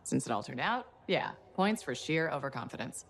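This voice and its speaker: A woman's voice: a bass voice, big pitch swings, normal speed, gravelly, full character voice. An actress playing a capable, frank female hero in a video game, with a direct, wry manner. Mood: wry, concerned. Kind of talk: video game cutscene acting.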